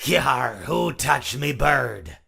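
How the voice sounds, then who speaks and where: A man voicing a gruff, theatrical animatronic character in a game. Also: medium pitch, animated, extremely slow, pushed and bright, heavily acted. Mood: gruff pirate. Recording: echoey game audio with music.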